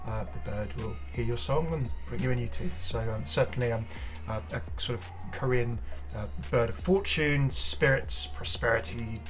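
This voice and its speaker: A man hosting a casual game showcase, talking in an enthusiastic, rambling way with halting explanations. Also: medium pitch. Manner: enthusiastic, effusive. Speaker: gaming video creator and host. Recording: music playing behind the voice. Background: clear background music.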